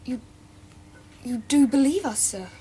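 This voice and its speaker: A woman's voice: high-pitched, lively intonation, measured pace, warm tone, a little hiss or hum. A woman playing a polite, earnest schoolgirl in a radio drama, explaining herself expressively and anxiously.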